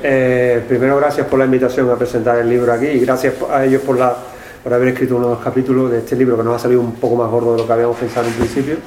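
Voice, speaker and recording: A man's voice: medium pitch, flat delivery, very fast, a little mellow. A man from Seville with an Andalusian accent, an anthropologist presenting his book, rambling with fillers and tag questions. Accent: Andalusian Spanish with some Catalan tinge. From Seville, Spain. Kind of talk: public talk presentation. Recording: echoey room, faint noise.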